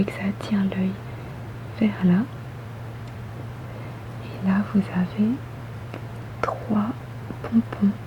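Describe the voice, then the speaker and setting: A woman whispering softly and breathily in an ASMR roleplay as a polite, attentive boutique saleswoman, gentle and unhurried. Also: mid-range voice, lo-fi sound, noisy background. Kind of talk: ASMR roleplay, customer service.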